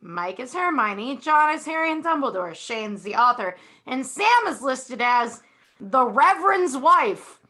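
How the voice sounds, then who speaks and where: Woman, guest co-host, bright sharp tone, slightly high, outspoken, sardonic. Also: very animated, a little slow. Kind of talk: casual comic banter.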